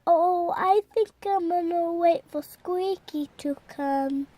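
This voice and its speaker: Tiny fretful child character, very high, dragging slow speech, hesitant, kids' puppet show. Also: dark tone, dry recording. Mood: hesitant, glum. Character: timid, anxious little child animal puppet, reluctant and fretful.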